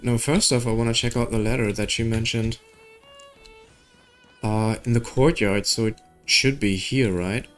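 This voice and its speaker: A gaming streamer with a mid-pitched voice talks casually through a playthrough, music faintly behind. The delivery drifts between muttered reactions and reading on-screen text aloud, sometimes trailing off. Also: fairly even intonation, a little slow.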